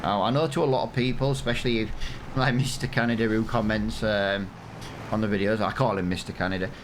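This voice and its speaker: A man's voice: on the low side, fairly even intonation, brisk, noisy background. An English man in his thirties, rambling and self-mocking as he gives casual commentary over a farming game. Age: 30s. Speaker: gaming channel host. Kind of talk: casual let's-play commentary.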